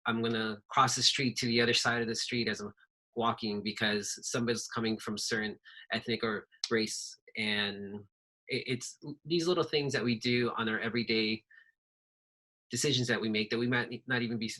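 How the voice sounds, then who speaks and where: A man's voice: mid-range voice, steady tone, normal speed, bright, forceful tone, poor audio. A man whose first language is Spanish, speaking conversationally with many fillers as a panelist in an online workshop over a video call.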